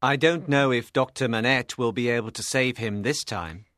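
An actor playing a cool young lawyer with quiet, smooth menace in a dramatised audiobook. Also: male voice, medium pitch, normal speed, no room sound, studio quality, natural voice. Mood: grave.

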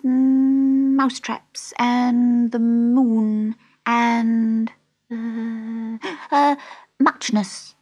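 A woman's voice: fairly high pitch, darkish tone, pitched above the performer's own voice. A woman voicing a sleepy little male dormouse telling a tale in a storybook, hesitant and gasping.

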